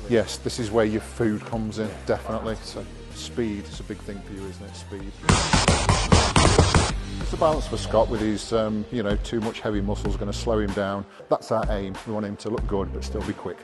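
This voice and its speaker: A man in his fifties coaching a workout as a personal trainer in a gym, talking in a calm, steady, instructive way on a lapel mic. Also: on the low side, moderate pace. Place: indoor gym. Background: background music.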